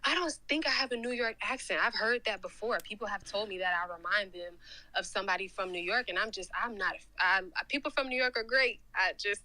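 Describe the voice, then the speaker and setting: A woman's voice: mid-range voice, a little fast, bright, forceful tone, no room sound, low-quality recording. A woman in her twenties from Georgia in the United States, chatting in a rambling, slangy way in a live chat room. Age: 20s. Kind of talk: casual chat.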